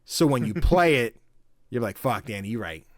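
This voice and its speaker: A young man chatting on a gaming podcast, voice at an ordinary middle pitch. He gets worked up, curses freely, and jumps into higher voices to act out lines from games. The sound is dry and close, with no room around it. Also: fairly quick, dry recording. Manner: excited, swears a lot, acts out game dialogue in raised voices. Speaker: podcast co-host. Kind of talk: casual podcast chat.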